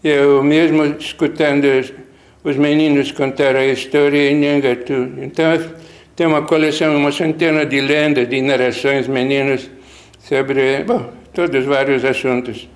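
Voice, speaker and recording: An older man, a missionary priest from Lithuania speaking with a Lithuanian accent, recalling the past in halting, rambling talk full of restarts. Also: mid-range voice, level tone. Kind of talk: interview recollection.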